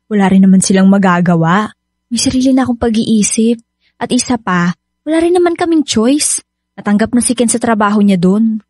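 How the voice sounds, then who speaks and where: A woman playing an excited, loving young bride-to-be who teases her friend, in radio drama style with a Filipino accent and a casual, expressive delivery. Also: on the high side, a little fast, no room sound, studio quality. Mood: assured.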